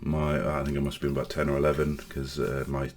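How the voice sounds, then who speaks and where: A man in his forties from Northern Ireland speaks in a very deep, low voice, his accent now mostly English. He talks in a halting, hesitant way, restarting phrases often, and his tone stays flat and even. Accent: English with Northern Irish traces. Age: 40s. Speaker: online safety vlogger. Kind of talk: personal storytelling to camera.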